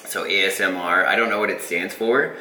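A man's voice: sits low, a little fast, sharp tone. A young man co-hosting a podcast in casual chat, rambling through a story with hesitant fillers. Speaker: podcast co-host. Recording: echoey room, faint hiss.

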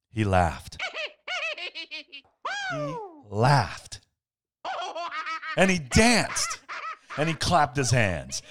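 A man reading a bedtime story aloud as a podcast host, with playful dramatic pauses and casual asides. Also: mid-range voice, a bit bright.

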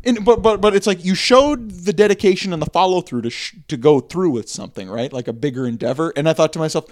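A man's voice: on the high side, fast, dry recording. A man chatting casually as a podcast co-host, rambling with many restarts and trailing asides. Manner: rambling, hesitant, many restarts and agreeing "right"s. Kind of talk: casual conversational chat.

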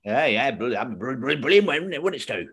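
A man's voice: mid-range voice, sing-song, fast talker, fairly bright tone, breathy edge. A British man co-hosting a podcast in a casual, jokey, chatty way. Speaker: podcast co-host. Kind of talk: casual comedic chat.

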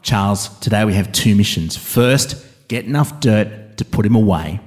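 A man playing a mock-serious border interrogator, interviewing a guest in a deadpan, faux-stern way. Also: lowish voice, normal speed. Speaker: comedy interviewer.

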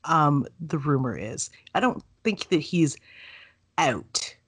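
A woman co-hosting a podcast in casual chat, animated and playful, often laughing. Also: deep voice, slow pace, husky. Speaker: podcast co-host.

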